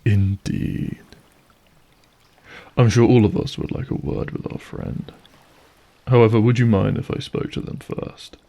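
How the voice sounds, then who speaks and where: Give a husky, low-pitched monster host played by a man for an audio drama. He is mockingly courteous and menacing, taking his time over each phrase in a dark, gravelly murmur. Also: animated, exaggerated voice. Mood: menacing, smug. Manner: theatrical, mock-courteous, deliberate. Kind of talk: scripted audio drama acting.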